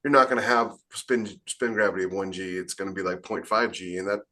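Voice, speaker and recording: Lowish-voiced man, emphatic casual explainer. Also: fairly even intonation, fairly quick, pushed and bright. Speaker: podcast co-host and science fiction author. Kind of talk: casual opinionated panel chat.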